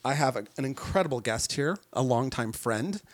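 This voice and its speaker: A man's voice: mid-range voice, lively intonation, moderate pace, dry recording. Casual male podcast host, close dry sound. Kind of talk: casual conversational interview.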